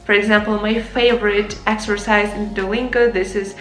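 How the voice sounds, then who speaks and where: A woman's voice: medium pitch, flat delivery, normal speed. Young Ukrainian-native woman teaching English to camera, earnest, in a roomy echo. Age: young adult. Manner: earnest, addresses viewers as guys. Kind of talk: casual advice lesson to camera. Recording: echoey room with faint music.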